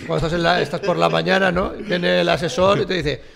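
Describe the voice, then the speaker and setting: A man's voice: high-pitched, steady tone, fast talker, vocal fry, a crowd in the background. A man from co-hosting a casual podcast, speaking into a close microphone indoors. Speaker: podcast co-host. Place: room at a table, indoors. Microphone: close podcast microphone with headphones.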